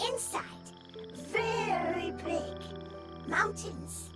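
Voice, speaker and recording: A little innocent fungus creature from a video game. It speaks extremely slowly and very high, in halting broken fragments, with loud music underneath. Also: female voice, heavily acted. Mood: earnest, simple.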